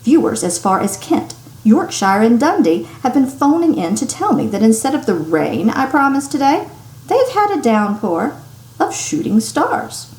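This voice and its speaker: A woman's voice: high voice, big pitch swings, normal speed, a little mellow, pitched above the performer's own voice. A woman reading the part of a chatty, jovial male TV weatherman in a storybook with folksy broadcast patter, in an echoey room. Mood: upbeat. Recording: echoey room with faint noise.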